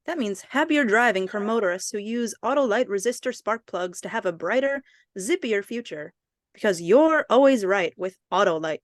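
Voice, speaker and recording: A woman playing an eager, wheedling pitch character in a mid-century radio commercial, peppy in manner. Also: lively intonation, normal speed, no echo, studio quality, natural voice. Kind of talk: 1950s radio commercial acting.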